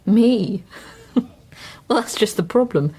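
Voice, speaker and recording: Mellow, husky young woman. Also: mid-range voice, lively intonation, slow. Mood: wry, speculative. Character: wry, chatty young wife puzzling over a mystery. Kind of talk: naturalistic radio drama dialogue.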